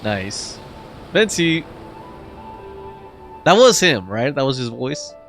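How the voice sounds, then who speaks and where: Male game streamer, informal reactions, short interjections, hugely varying pitch, soft music behind. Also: big pitch swings, slow. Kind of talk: casual gameplay reaction commentary.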